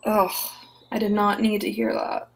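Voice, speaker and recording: Officious, disapproving supervisor for audio drama actress; middle pitch, noticeable vocal fry. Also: female voice, huge pitch swings, moderate pace, heavily acted, rough recording. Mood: disgusted. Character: prim, disapproving woman supervising an unruly man.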